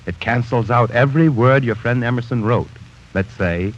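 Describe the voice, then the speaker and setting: A man's voice: mid-range voice, normal speed, a little hiss or hum, lightly acted. An actor playing an arrogant, bookish man who scorns sentiment, in a 1940s radio drama. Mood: lecturing. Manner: haughty, condescending. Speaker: narrator reading his journal. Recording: muffled 1940s radio broadcast.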